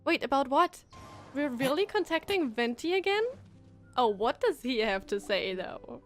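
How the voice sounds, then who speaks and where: A woman's voice: high-pitched, big pitch swings, a little slow, soft background music. A young woman reacting live to a game as a streamer, gushing and emotional.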